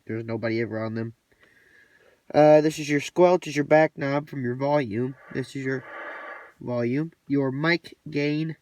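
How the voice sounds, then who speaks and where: A man giving a casual, hands-on walkthrough in a plainspoken, folksy way with colloquial grammar. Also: mid-range voice. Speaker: hobbyist presenter demonstrating his radio gear. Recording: distant mic, light background noise, clicks.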